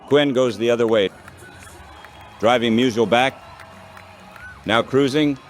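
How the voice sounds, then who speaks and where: A man's voice: mid-range voice, slow pace, soft background music, a faint crowd. A man's synthetic text-to-speech voice calling baseball play-by-play in short, clipped phrases. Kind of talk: baseball radio play-by-play commentary.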